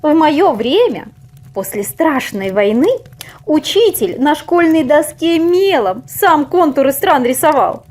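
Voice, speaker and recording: A woman's voice: a little high, a little mellow. A woman voicing an old-fashioned, scolding grandmother in a storybook, with a folksy, reproachful and emphatic manner.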